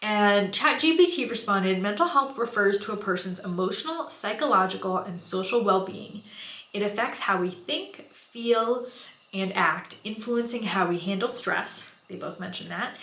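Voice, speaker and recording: A woman with a stuffed-up, congested voice talks casually as she does her makeup, mixing explanation with fillers and apologetic asides. Her tone is bright and forward. The room around her is echoey. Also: mid-range voice, normal speed. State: stuffy nose from a cold. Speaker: beauty and mental health vlogger. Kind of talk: casual talking-head explainer while doing makeup. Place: home in Goma, Africa.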